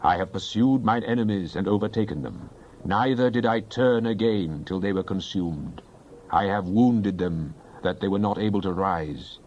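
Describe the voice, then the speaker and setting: A man's voice: deep voice, normal speed, a little mellow, highs cut off, a little hiss or hum. A man giving a dramatized Bible reading in a solemn, declamatory manner. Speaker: scripture reader.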